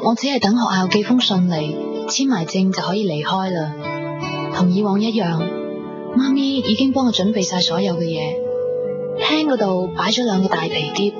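A woman's voice: mid-range voice, lively intonation, normal speed, close to an everyday voice. An actress with a Hong Kong Cantonese accent playing a sensitive, stubborn young daughter in a radio drama, who also narrates her own love story. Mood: reflective. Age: young adult. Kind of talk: radio drama acting with reflective first-person narration. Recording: dull 1990s radio recording under music.

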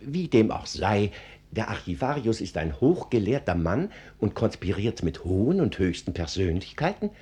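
Husky, very animated gentleman registrar. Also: fairly low pitch, normal speed. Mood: earnest. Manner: animated, confidential, old-fashioned courtly phrasing. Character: gossipy, self-important gentleman registrar. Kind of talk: German radio drama.

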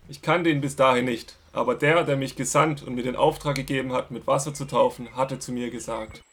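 An actor playing a fervent desert prophet in a biblical radio drama, speaking in a raised, proclaiming way. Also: male voice, mid-range voice, fairly even intonation, brisk, fairly bright tone, slightly rough.

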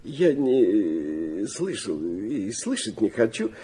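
An actor playing a fussy, genial old aristocrat in a Russian radio play, husky, halting and mumbling, dropping French endearments. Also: male voice, lowish voice, sing-song, warm tone, heavily acted. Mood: musing, dithering. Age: older.

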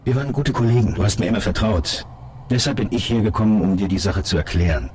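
Man acting deep, brisk, reassuring police colleague. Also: crowd noise, close to an everyday voice. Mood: earnest, persuasive. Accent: standard German. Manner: measured wording, reassuring, direct. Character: calm, matter-of-fact male colleague patiently explaining hard news. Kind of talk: German radio drama acting.

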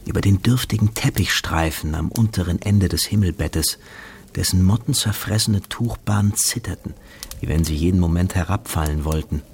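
A man acting as literary narrator of a radio drama, reading scripted prose in a measured, gravelly voice. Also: low-pitched, darkish tone, full character voice. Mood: composed.

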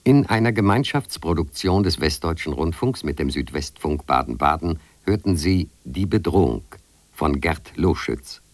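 Very deep-voiced male radio announcer. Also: lively intonation, moderate pace, slight background noise. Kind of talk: formal broadcast credit announcement.

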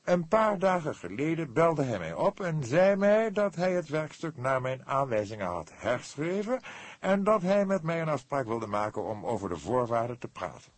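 A man's voice: on the high side, very animated, normal speed, no room sound, pitched above the performer's own voice. A man voicing a pompous, precise, easily indignant book publisher in an audiobook, speaking in an emphatic, formal, affected way. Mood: self-important.

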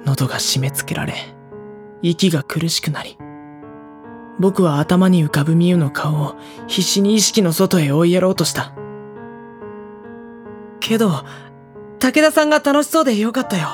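A woman playing a mild, hesitant high school boy in a Japanese audio drama, soft-spoken and polite, with inner monologue. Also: animated, moderate pace, long pauses, faint music behind, close to an everyday voice. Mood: gentle. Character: mild, obtuse high school boy narrating his anxieties.

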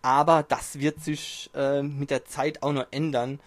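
A man's voice: medium pitch, level tone, unhurried, no room sound. A man hosting a casual podcast from a small home studio, chatting in a jokey, self-mocking way, his mic distance shifting now and then. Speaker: podcast host. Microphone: home mic, distance varies.

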